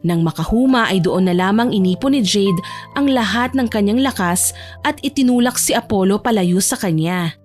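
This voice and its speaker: A woman's voice: medium pitch, expressive, moderate pace, a little mellow, soft background music. A woman narrating a romance story in a scripted audiobook style.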